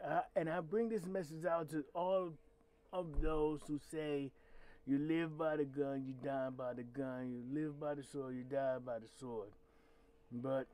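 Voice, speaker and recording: A man's voice: on the high side, a little mellow. A man with a growly voice, hosting his own channel and giving blunt, emphatic commentary with swearing. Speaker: channel host. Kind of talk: solo commentary podcast.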